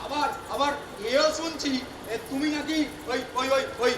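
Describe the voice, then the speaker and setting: A man playing a stammering old god, very high-pitched. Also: little pitch movement, moderate pace. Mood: nervous, placating. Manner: stammering, hesitant, repeats words. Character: old male creator god, nervous, placating, wily ruler of hell. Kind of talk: stage comedy acting. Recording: echoey noisy stage recording.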